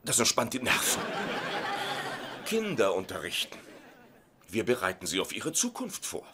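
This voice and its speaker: A man's voice: fairly low pitch, huge pitch swings, normal speed, heavy background noise. A man acting in a German sitcom dub as an eager, insecure husband turned substitute teacher, hesitant and awkwardly chummy. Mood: self-important.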